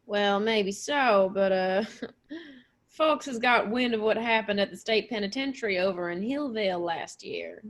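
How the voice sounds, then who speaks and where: A woman's voice: mid-range voice, measured pace, lightly acted. A woman voicing a folksy, gossipy small-town male sheriff with hesitant, rustic phrasing in a radio play.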